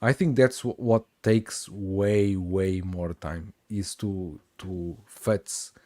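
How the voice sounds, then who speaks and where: A man in his forties hosts a relaxed livestream, reading viewer chat aloud and trailing into restarts and easy laughter. His voice sits low and mellow. The sound is dry and close. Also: dry recording. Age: 40s. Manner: halting, many restarts, reads chat aloud, laughs often. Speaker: host. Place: Australia.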